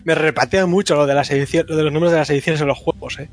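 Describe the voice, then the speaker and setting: A man's voice: on the high side, steady tone, fast talker. Chatty, opinionated man. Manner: chatty, opinionated, laughs often. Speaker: podcast co-host. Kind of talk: casual gaming chat.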